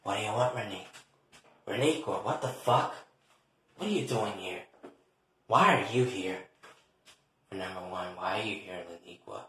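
A man voicing a cool, contemptuous woman who talks softly and measuredly in a comedy skit. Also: slight background noise. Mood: suspicious. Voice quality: soft, hushed, low. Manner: measured, icy, disdainful. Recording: very echoey, reverberant room.

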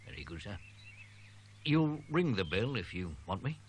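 A man's voice: fairly low pitch, big pitch swings, unhurried, background noise, voice pushed up. A male narrator voicing an old male college servant who is worried, deferential, and speaks with working-class grammar. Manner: working-class speech, humble, says sir often.

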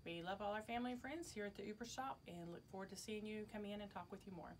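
A middle-aged woman speaks casually to her customers as a shop owner. Her pitch is medium, and she is recorded indoors from a short distance with light noise behind her. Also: quick pace. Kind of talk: casual video announcement to customers. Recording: background noise, camera from a distance.

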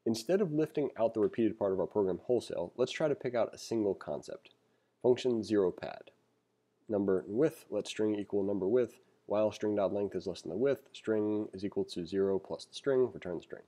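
A man with a low, very mellow, relaxed voice. He works as a programming instructor, reading a text aloud in a casual way. Between passages he thinks out loud and explains things informally. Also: fairly quick. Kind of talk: casual read-along tutorial, reading aloud and commenting. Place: screen-recorded voice-over.

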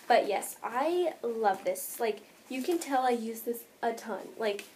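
High-pitched American teenage girl, chatty. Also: expressive, measured pace, a little mellow, a little hiss or hum. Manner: chatty, rambling, filler-heavy with frequent "like". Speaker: vlogger. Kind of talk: casual chat to camera, showing bag contents. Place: bedroom, indoors.

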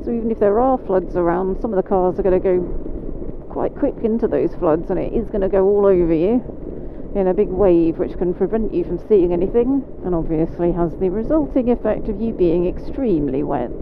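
A woman motorcycle instructor with a British accent, calmly talking through each step as she rides. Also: fairly low pitch. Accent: British English. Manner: calm, measured, talks through each riding step. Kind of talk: instructional commentary while riding. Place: on a motorcycle on wet roads in rain. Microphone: helmet mic while riding. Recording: phone-like helmet mic, road and engine noise.

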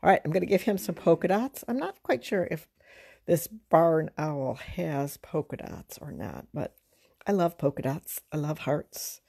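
A woman art teacher with a fairly low, soft-edged mellow voice talks through a drawing demonstration. She sounds informal and unhurried, pausing to think aloud, with some creak in her speech. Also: expressive. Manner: halting, unhurried, conversational. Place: indoors at a drawing table.

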